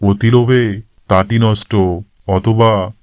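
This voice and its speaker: Low, slow, smooth-voiced male storyteller. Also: steady tone, no echo, studio quality. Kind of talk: scripted narration of a cartoon moral story.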